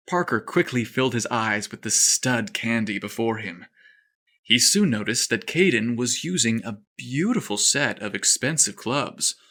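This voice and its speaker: A man reading a scripted story aloud with expressive, playful and dramatic delivery. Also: medium pitch. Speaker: storyteller podcast narrator.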